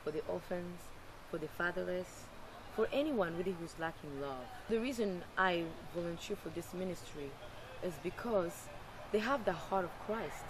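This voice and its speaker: A man speaks fervently as a ministry volunteer, his testimony devout and heartfelt. Steady noise runs clearly behind his voice. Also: high-pitched. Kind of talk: documentary testimony. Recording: noisy background.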